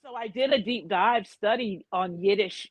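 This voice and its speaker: A woman in her fifties co-hosting a casual chat, animated and sassy, laughing often. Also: medium pitch, moderate pace, no echo. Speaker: co-host, actor.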